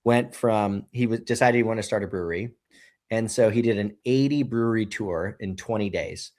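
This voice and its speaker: A man's voice: on the low side, normal speed, a little mellow. A man in his thirties, a brewery co-founder and podcast guest, telling a loose, casual story full of "you know" and "right?".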